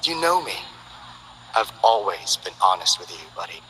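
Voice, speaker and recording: An actor playing a smooth-talking, friendly male info broker, with teasing, salesman-like banter in game character voice lines. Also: low-pitched, very animated, normal speed, bright, forceful tone, long reverb tail, slight background noise, exaggerated voice, rough recording. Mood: breezy.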